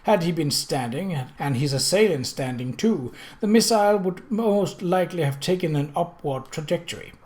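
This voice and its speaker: A man voicing a professional, slightly gruff male police surgeon in an audiobook, with a formal, clinical manner. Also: fairly high pitch, animated, normal speed, hardly any pauses, darkish tone, voice pushed up, close to an everyday voice. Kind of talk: audiobook character dialogue.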